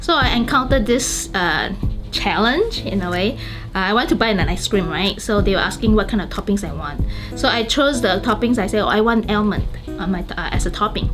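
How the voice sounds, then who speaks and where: A woman's voice: mid-range voice, expressive, fairly quick. A woman with a Malaysian accent telling anecdotes in an interview and acting out the quotes. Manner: storytelling, acts out quotes. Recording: background music throughout.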